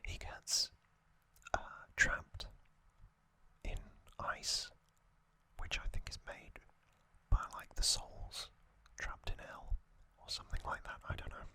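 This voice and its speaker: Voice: slow pace, bright, forceful tone, low-quality recording. The kind of voice on a late-night ASMR channel for falling asleep, whispering a story unhurriedly with little hesitant asides. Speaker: ASMR host.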